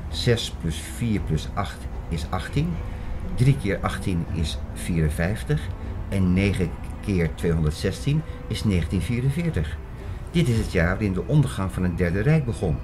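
Over a prominent music bed, an actor plays an earnest male theorist with a very low voice. He lectures insistently, setting out his ideas like a zealous teacher in a radio play. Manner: emphatic, didactic, insistent. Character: earnest male theorist expounding an apocalyptic conspiracy. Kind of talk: Dutch audio drama acting, lecturing monologue.

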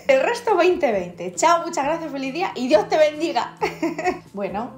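A young woman with a Castilla-La Mancha accent talks to camera in a high, bright voice, swallowing her s sounds. She chats in an amused way and laughs often. The room gives her voice an echo, and faint music runs underneath. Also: very animated, a little slow. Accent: Castilla-La Mancha Spanish, drops s sounds. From Spain. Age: young adult. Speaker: YouTuber, Spanish teacher. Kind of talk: casual vlog reaction, reading comments aloud. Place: indoor room, wall with photos behind. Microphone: camera at close range.

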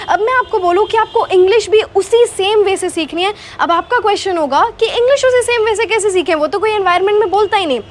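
A young Indian woman from Haryana with a very high voice talks through her advice in a casual, explanatory way. She speaks into a clip-on mic in an open garden courtyard, with faint outdoor ambience behind her. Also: quick pace, few pauses, a little hiss or hum. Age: young adult. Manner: mixes Hindi and English, explanatory, gestures with hands. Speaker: guest, English accent coach. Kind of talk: casual interview answers. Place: outdoor garden courtyard under shade net. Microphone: clip-on lapel mic.

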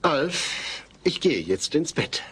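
A man's voice: fairly high pitch, big pitch swings, takes it slowly, a bit bright, breathy edge, heavily acted. A man playing a fussy, dry, put-upon husband and father in a German sitcom radio play. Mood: weary.

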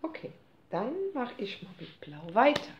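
A woman's voice: medium pitch, very animated, a little slow, highs cut off. A mother playing cards with her child at home, off camera, chatting in a playful, teasing, mock-indignant way. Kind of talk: casual family chat during a card game. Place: home room at a table, off camera. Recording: echoey room, faint noise.

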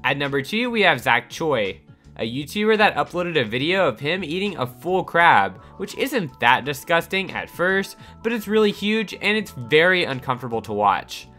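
A man reading a scripted countdown voice-over with upbeat delivery over soft background music. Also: fairly high pitch, big pitch swings, normal speed. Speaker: narrator of a countdown channel.

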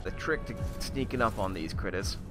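A man's voice: lowish voice, animated, normal speed, natural voice. Guy doing a bumbling boastful aussie survival guy, wobbly accent, rambly fake tips over gameplay, music going behind him. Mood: mock-instructive. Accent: put-on wandering Australian. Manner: hesitant, rambling, mock-instructional. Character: bumbling, boastful Australian outdoor survival expert. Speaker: gameplay commentator. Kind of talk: improvised in-character comedic game commentary.

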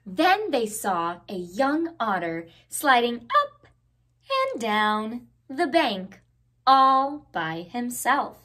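A woman in her thirties reading a picture book aloud for children, expressively drawing out words. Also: a little high. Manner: expressive, deliberate, drawn-out words. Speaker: children's story time host.

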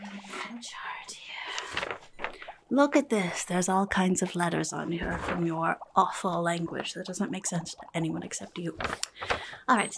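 A young woman voicing a rude, impatient goblin nurse in an ASMR roleplay, muttering in soft, breathy whispers close to the mic and repeating herself grudgingly. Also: lowish voice. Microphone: close microphone.

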